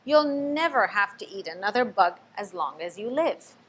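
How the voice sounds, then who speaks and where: A woman's voice: medium pitch, sing-song, normal speed, fairly bright tone, slightly rough. A woman voicing a loving, tender mother bat in a children's storybook reading. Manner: urgent then tender.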